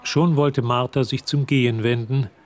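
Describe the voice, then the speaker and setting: A man's voice: mid-range voice, steady tone, normal speed, faint music behind, natural voice. A man reading scripted narration for a radio drama, telling the story as narrator. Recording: clear ambient noise behind voice.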